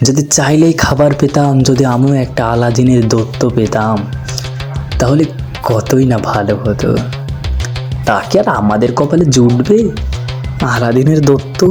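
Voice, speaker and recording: A lazy, poor cartoon villager, acted by a man, wandering at night. He rambles aloud to himself in a medium-pitched voice. His talk is a grumbling, complaining monologue. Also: darkish tone, lightly acted.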